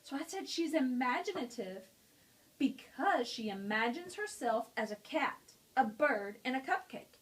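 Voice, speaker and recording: A woman's voice: high voice, expressive, measured pace. A woman teacher at a school, explaining a picture-book lesson to students in a friendly, explanatory way.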